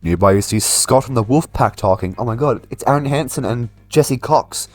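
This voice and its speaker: A man's voice: mid-range voice, normal speed, darkish tone. A man doing casual video game commentary, reading the on-screen story aloud and adding his own remarks. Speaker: gaming video host. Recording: faint game music behind a dry voice. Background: music.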